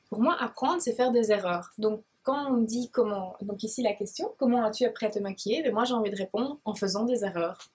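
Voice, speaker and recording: A woman's voice: on the high side, breathy edge. A shy woman in her twenties with a Belgian French accent from Liège, answering viewer questions to camera, hesitant and full of fillers and restarts. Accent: Belgian French, Liège province. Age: 20s. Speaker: beauty blogger and vlogger.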